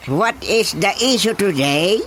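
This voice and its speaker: A man's voice: sits high, animated, slow, heavy background noise. A man playing a doting, jokey village chairman and father in a radio comedy drama, drawling with a Filipino accent. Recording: music bed under the voice.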